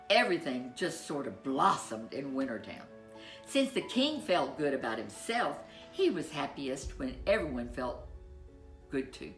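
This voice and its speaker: A woman storyteller reading a children's tale in a playful, expressive way with drawn-out emphasis. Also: deep voice, roomy sound. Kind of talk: animated scripted children's story narration.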